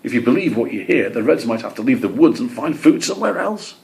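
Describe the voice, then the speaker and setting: A man's voice: mid-range voice, quick pace, gravelly, voice pushed up. A man voicing a friendly, chatty female greenfinch bringing news in a children's storybook. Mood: eager, friendly. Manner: chatty, excited.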